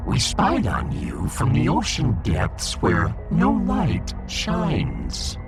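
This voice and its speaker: A man playing a pompous, menacing alien fish emperor in a sci-fi audio drama, with a gravelly, theatrical delivery. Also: mid-range voice, huge pitch swings, loud music behind the voice, exaggerated voice. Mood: grandly boastful. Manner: pompous, theatrical, slow and deliberate.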